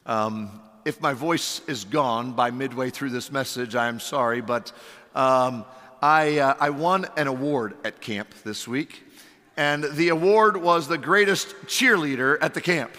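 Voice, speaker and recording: Hoarse pastor preaching in a reverberant hall. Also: male voice, medium pitch, measured pace, pushed and bright. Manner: earnest preaching with rhetorical questions and fillers. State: hoarse, losing his voice. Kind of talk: sermon. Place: church sanctuary.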